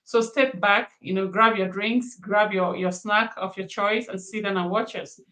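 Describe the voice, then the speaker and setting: Bright-voiced woman, chatty business coach. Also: mid-range voice, steady tone, normal speed, rough recording. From Nigeria. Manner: chatty, frequent fillers. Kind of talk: casual panel introduction.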